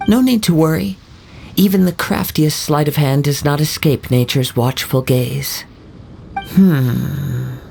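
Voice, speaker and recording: A young man voicing a calm, poetic wandering samurai, speaking in a measured way, in video game voice acting. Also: a little high, vocal fry, a little hiss or hum. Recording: soft game music underneath.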